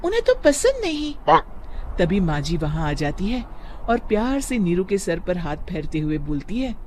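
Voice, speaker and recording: A woman's voice: lowish voice, animated, moderate pace, mellow, slight background noise. A woman narrating a scripted cartoon family story as a storyteller.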